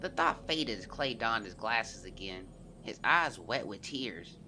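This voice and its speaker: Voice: medium pitch, lively intonation, a little slow, pushed and bright, slight background noise, rough recording. An actor voicing a young boy who earnestly narrates events into his journal in a scripted audio drama. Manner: earnest, confiding, storytelling.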